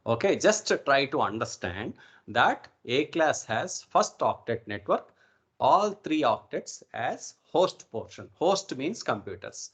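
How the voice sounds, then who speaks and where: A man with an Indian accent teaches informally over an online call. His voice sits at a medium pitch, and he explains in an instructive way, often checking in with a quick okay or right. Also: big pitch swings, a bit bright. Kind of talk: informal online networking lecture. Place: online video call recording.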